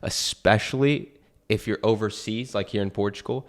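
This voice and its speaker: A man's voice: fairly low pitch, moderate pace. A young American man hosting a casual conversation, talking earnestly and thinking aloud with many fillers. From United States. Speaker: podcast host and new real estate agent. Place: Portugal.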